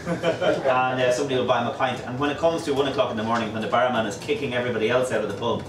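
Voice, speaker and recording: A middle-aged man hosting a pub crawl, telling jokey stories to a crowd and mimicking people in quick asides. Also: medium pitch, fairly even intonation, noisy background. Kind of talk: humorous storytelling to a pub crowd. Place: small crowded pub, indoors. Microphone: far camera, no mic. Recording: roomy pub echo, distant, chatter behind.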